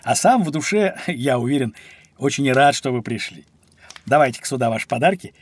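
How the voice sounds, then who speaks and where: A male actor plays a cheerful, kindly uncle in a children's audio play. He talks expressively and coaxingly, with a husky edge and very lively, swinging intonation. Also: mid-range voice, brisk, natural voice. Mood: jovial.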